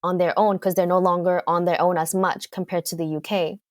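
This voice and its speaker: A woman with a Canadian accent, a guest in a casual interview. She speaks in a lowish voice, pausing with fillers as she thinks aloud. The recording is dry and close. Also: little pitch movement, no echo. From United Kingdom. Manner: thoughtful, hesitant, frequent fillers.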